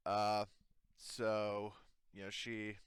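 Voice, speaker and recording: Low-voiced, halting male game master. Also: monotone, clean tone, dry recording, studio quality. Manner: halting, informal. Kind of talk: improvised tabletop game narration.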